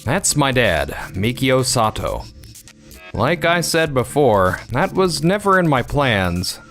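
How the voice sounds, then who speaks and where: A man's voice: mid-range voice, big pitch swings, slow pace, fairly bright tone, faint music behind, heavily acted. A man playing a polite, unassuming farmer son in a manga dub, speaking in an earnest, matter-of-fact way. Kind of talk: manga dub narration and dialogue.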